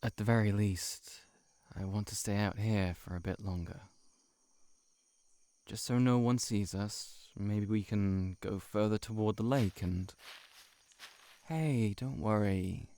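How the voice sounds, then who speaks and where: A man's voice: on the low side, dark tone, no room sound. A man playing a lovelorn shapeshifting monster posing as a friend in an intimate audio roleplay, speaking in an earnest, hesitant, pleading way. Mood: tender, yearning. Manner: hesitant, halting, emotionally pleading.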